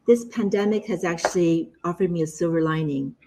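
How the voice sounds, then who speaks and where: A woman's voice: lowish voice, brisk, warm tone. A middle-aged woman from the United States introducing herself on a panel in a confident, polished way. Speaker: panelist, entrepreneur.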